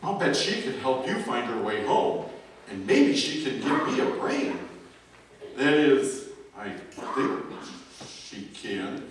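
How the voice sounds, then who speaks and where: A man's voice: medium pitch, big pitch swings, takes it slowly. A man playing a cheerful, forgetful scarecrow in an amateur church skit. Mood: dithering. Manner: dithering, sing-song. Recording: echoey hall from far off, noisy.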